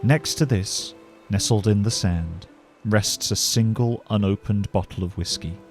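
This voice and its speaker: A man narrating a scripted fantasy story in a measured, dramatic storytelling manner. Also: lowish voice. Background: music.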